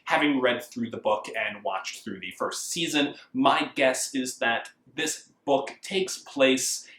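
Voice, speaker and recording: An American man giving a casual book review in a conversational, measured way, often qualifying his opinions. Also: medium pitch, a bit bright, a little hiss or hum. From United States. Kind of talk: casual review talk to camera. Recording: roomy, echoing indoor sound.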